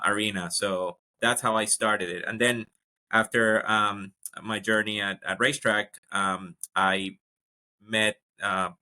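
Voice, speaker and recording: Bright-voiced man. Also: fairly low pitch. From Ecuador. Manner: many fillers and restarts, upbeat storytelling. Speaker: guest, HR executive. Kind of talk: casual interview talk.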